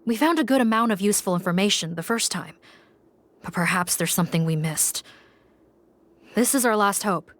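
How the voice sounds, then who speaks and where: A woman's voice: medium pitch, animated, normal speed, long pauses, lightly acted. A woman playing a practical, serious police officer in a video game, with a businesslike, composed delivery. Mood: grim, focused.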